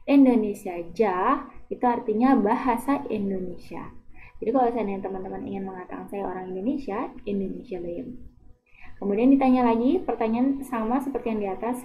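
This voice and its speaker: A woman language teacher patiently explaining Turkish phrases, with frequent hesitations and repetitions. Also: a little high. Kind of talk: explaining a Turkish lesson in Indonesian. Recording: tinny phone-like audio.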